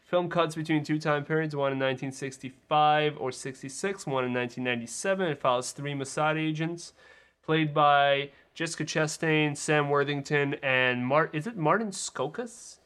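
A man's voice: on the high side, normal speed, a bit bright, clean tone. A man co-hosting a podcast in a casual film discussion.

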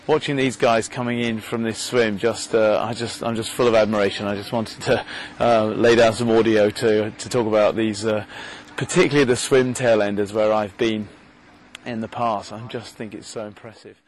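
A man's voice: lowish voice, flat delivery, moderate pace, slight background noise, poor audio. Rambling man recording outdoors. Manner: rambling, hesitant, many fillers and restarts. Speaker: podcast host. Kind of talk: casual on-the-spot commentary and interviews. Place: outdoors at a race lakeside. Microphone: handheld recorder.

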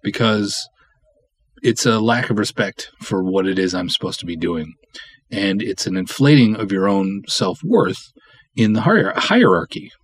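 A man's voice: fairly low pitch, animated, a little slow, slightly rough. A man hosting a solo podcast, giving candid advice in a blunt, opinionated, emphatic way. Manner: blunt, opinionated, emphatic, acts out imagined dialogue. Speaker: podcast host, independent film writer-director.

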